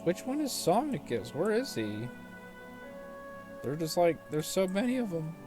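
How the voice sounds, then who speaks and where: Guy doing a casual game playthrough, offhand comments and quest reading, loud game music behind him. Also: sits high, very animated, a little slow. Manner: offhand, reads game dialogue aloud between remarks. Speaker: gaming video maker.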